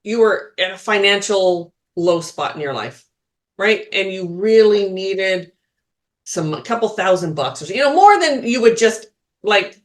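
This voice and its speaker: Woman, podcast co-host, casual spiritual chat, lively pitch, middle-range voice, laughs often. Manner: chatty, laughs often, quick restarts.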